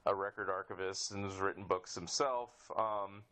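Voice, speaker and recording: A man's voice: low voice, fairly even intonation, normal speed, bright, forceful tone. A man in his fifties hosting a podcast, chatting casually in a rambling way as he interviews a guest. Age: 50s.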